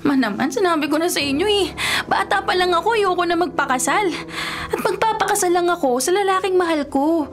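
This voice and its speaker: A woman's voice: very high voice, fairly quick. An actress with a Filipino accent playing a headstrong, kind young rich man's daughter in a radio drama. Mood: defiant, pleading. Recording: music bed under the voice.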